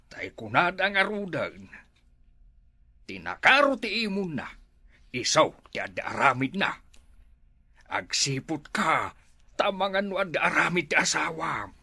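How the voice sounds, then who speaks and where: A man with an Ilocano accent and a husky voice playing a gossiping elder relative who stirs up suspicion in a comedy drama. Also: fairly high pitch, sing-song, measured pace, long pauses, sharp tone, dry recording, heavily acted. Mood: warning, gossipy. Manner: insinuating, warning.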